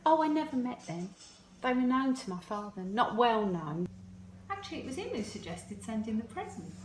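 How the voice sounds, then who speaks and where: A woman playing a wistful, anxious middle-aged mother in a stage play, confiding in halting reminiscence. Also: on the high side, very animated. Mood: reflective. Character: middle-aged birth mother, wistful, anxious, devoted to her secret daughter. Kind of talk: amateur stage-play acting, naturalistic dialogue. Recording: roomy, distant, noisy home recording.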